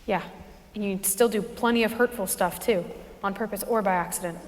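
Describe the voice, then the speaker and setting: A woman's voice: medium pitch, fairly quick, natural voice. Woman playing a cynical teenage girl, echoey hall. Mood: skeptical. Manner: dry, challenging. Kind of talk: stage musical acting. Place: school auditorium staged reading.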